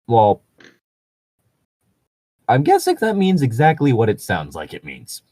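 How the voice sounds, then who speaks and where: A man co-hosting a casual commentary chat about gaming stories, talking in a rambling, halting way. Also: mid-range voice, wildly swooping pitch, a little mellow, dry recording. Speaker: co-host reading and commenting on tabletop gaming stories.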